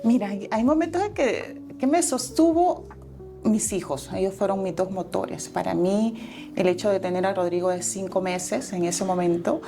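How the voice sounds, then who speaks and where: A woman's voice: mid-range voice, moderate pace, dark tone, faint music behind. A Salvadoran woman in her forties, a guest entrepreneur telling her life story in an interview, earnest and reflective, with fillers and self-corrections.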